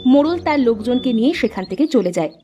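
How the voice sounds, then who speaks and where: A woman's voice: a little high, lively intonation, rapid-fire, mellow, muffled recording. Woman narrating a children's story over music.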